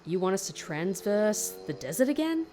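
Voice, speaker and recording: An actress playing a defiant, sharp-tongued hitchhiker in an audio drama, confrontational and sarcastic. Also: female voice, mid-range voice, sing-song, moderate pace, slight background noise, lightly acted. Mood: questioning.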